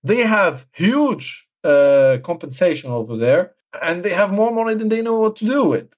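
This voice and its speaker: A man's voice: high-pitched, lively intonation, normal speed. Man from Morocco explaining in casual interview. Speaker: podcast guest, trader.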